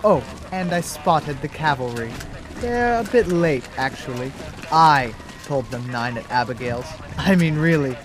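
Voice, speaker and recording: A man's voice: a little high, expressive, unhurried. An actor playing a mafia hitman who poses as a rookie police officer in a radio drama, slyly calculating. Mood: smug menace. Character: mafia hitman posing as nervous rookie cop, slyly menacing.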